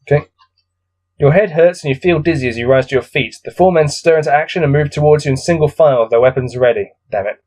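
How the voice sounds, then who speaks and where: A man's voice: a little high, fast talker, darkish tone. A man reading a gamebook aloud as host of a let's-play, musing to himself with casual, self-deprecating asides.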